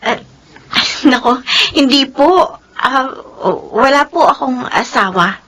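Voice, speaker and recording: A woman's voice: sits high, expressive, slow pace, fairly bright tone, heavily acted, rough recording. An actress with a Filipino accent playing a humble, polite young single mother in a radio drama. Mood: hesitant, subdued. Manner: polite, deferential.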